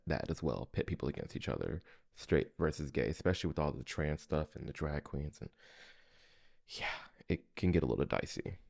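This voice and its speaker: Male commentary host, low register, informal and opinionated, conversational reaction style. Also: vocal fry, darkish tone.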